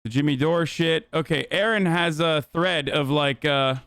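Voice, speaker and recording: A man talks political commentary on his stream, bluntly and with plenty of profanity and filler words. His voice sits somewhat high and sounds smooth and resonant. The sound is dry and close, with no room around it. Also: normal speed, fairly bright tone, no echo. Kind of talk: livestream commentary reacting to clips.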